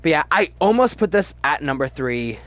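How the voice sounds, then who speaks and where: A man giving casual, opinionated commentary as a video host, emphatic and halting, often restarting his sentences. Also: a little high, sing-song, fairly bright tone. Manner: chatty, halting, restarts sentences mid-word. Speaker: movie review video host. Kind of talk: casual ranked-list movie commentary.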